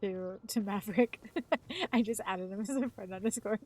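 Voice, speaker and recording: A woman's voice: medium pitch, moderate pace, a little mellow. A woman in her thirties, a live streamer chatting casually, rambling and swearing freely. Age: 30s. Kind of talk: casual chat.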